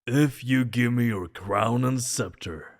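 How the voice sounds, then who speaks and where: A man playing a stately, grateful king in a fantasy audio drama, speaking formally and ceremoniously with a gravelly voice. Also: mid-range voice, takes it slowly, lightly acted. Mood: regal, insistent. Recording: long hall reverb.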